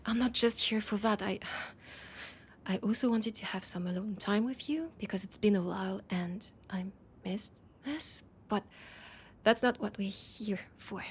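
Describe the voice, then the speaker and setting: A woman with a French accent playing a proud, worried vampire of a supernatural council in an intimate audio roleplay, speaking in an earnest, halting way. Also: mid-range voice, slight background noise, lightly acted. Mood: hesitant, pleading.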